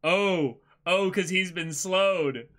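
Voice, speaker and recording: A man in his twenties giving a theatrical, excitable dramatic reading mixed with casual banter as a co-host. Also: sits high, slow. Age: 20s. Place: indoor room, seated.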